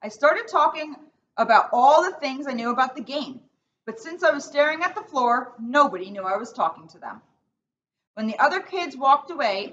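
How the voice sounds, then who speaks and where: Earnest, misunderstood little zebra boy for a storybook played by a woman, bright and very animated, slightly distant recording. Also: sits high. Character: young male zebra, sensitive, misunderstood schoolchild. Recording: distant mic, dull sound, faint noise.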